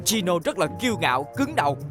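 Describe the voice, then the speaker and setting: A man playing a proud, earnest boy racer in a dubbed animated series. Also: high-pitched, quick pace, over music. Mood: indignant. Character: proud, easily offended boy racer, loyal at heart.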